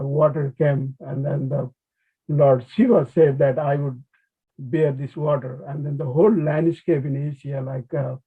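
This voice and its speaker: Male academic speaker, Nepali accent, slightly high raspy voice, halting delivery. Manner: halting, hesitant phrasing with frequent restarts. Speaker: conservation biologist, webinar speaker. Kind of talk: informal academic webinar talk. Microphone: video call. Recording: thin phone-like online call audio.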